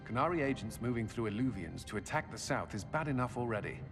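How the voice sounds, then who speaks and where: A man's voice: medium pitch, animated, fast talker, close to an everyday voice. An actor playing a hard-headed, sardonic male companion with a dry, grim manner in a video game. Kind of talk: dramatic video game dialogue. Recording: long hall reverb under music.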